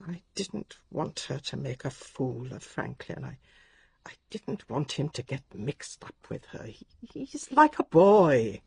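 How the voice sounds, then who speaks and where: An actress playing an ailing, bedridden older English lady in a radio drama, sharp-tongued yet wandering, with frail, breathy, halting, upper-class speech. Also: rumbling low, sing-song, a little hiss or hum, heavily acted. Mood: querulous, scornful.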